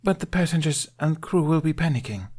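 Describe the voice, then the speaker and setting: A man's voice: on the high side, animated, a little fast, darkish tone, pitched above the performer's own voice. A man voicing an earnest young alien woman with a plain, direct manner in an audiobook. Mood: earnest, uneasy.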